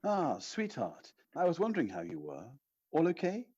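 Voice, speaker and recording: An actor playing an affectionate, gently teasing husband on the telephone in an audio play, reassuring in manner. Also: male voice, a little high, wildly swooping pitch, moderate pace, breathy edge, full character voice. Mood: fond, curious. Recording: dull lo-fi phone call sound.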